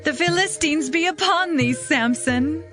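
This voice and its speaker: An actress playing a seductive, insistent temptress in a Bible audio drama, pleading in archaic language. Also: female voice, high-pitched, a little slow, over music. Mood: urgent alarm.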